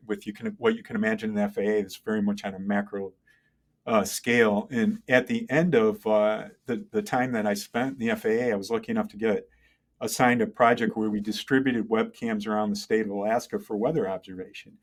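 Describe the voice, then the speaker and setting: A middle-aged man speaking as an interview guest, conversational and halting, thinking aloud and often checking in with the listener. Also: lowish voice. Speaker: interview guest, tech entrepreneur and engineer. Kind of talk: conversational interview, telling career story.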